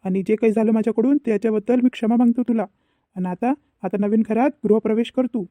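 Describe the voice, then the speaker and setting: A man's voice: high voice, fairly even intonation, fast talker, warm tone, dry recording, close to an everyday voice. A man playing an earnest, protective young husband in a cartoon family drama, with a Varhadi Marathi accent. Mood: earnest, tender. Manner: earnest, explanatory.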